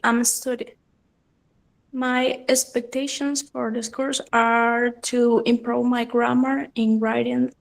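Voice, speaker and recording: A woman in her twenties from El Salvador introduces herself to her English class. Her voice is slightly high and her delivery sounds composed and prepared. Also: gravelly, rough recording. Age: 20s. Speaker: student.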